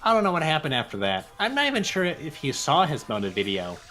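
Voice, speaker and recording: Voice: medium pitch, huge pitch swings, quick pace, pushed and bright. A performer in an amateur game fan dub voicing a guarded, defensive young woman in a blunt, dismissive manner. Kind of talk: fan dub of an anime detective game, breaking into ad-libs. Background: background music.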